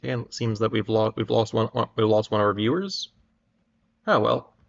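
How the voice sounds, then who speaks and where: A man hosting a livestream and reading aloud, haltingly, often stumbling over and repeating his words. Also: on the low side, lively intonation, creaky, muffled recording. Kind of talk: dramatic reading and casual livestream chat.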